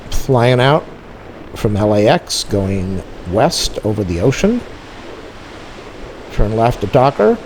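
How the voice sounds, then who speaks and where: A man's voice: on the low side, big pitch swings, takes it slowly, pauses often, a little mellow, low-quality recording. Guy doing a plane spotting vlog, rambly casual explaining, bit of outdoor noise behind him. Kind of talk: casual off-the-cuff narration.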